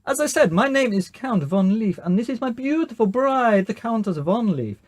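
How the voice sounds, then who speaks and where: Vampire count, played by a man: grand, pompous host with menace, highly animated intonation, dry close sound. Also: normal speed, dry recording. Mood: grandly hospitable. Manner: pompous, theatrical welcome.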